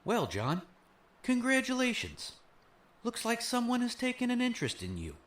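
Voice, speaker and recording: An actor playing a naive, cheerful young-at-heart prison guard in an audio drama, speaking with gleeful congratulation. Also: male voice, very high voice, huge pitch swings, normal speed, a bit bright, heavily acted.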